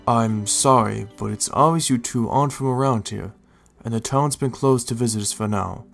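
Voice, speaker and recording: Man as a gravelly, courteous creature guard. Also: mid-range voice, normal speed, fairly bright tone, faint music behind. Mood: firm, polite. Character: polite, watchful male creature guarding a town. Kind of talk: audio drama character acting.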